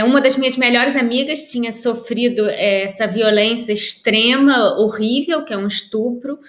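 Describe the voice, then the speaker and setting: Brazilian woman, writer, fairly high voice, reflective and measured, roomy video-call sound. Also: fairly bright tone. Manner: thoughtful, measured, with many pauses and restarts. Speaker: author, guest. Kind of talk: reflective interview answers. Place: at home in Lisbon, by a window. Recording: echoey room on a video call.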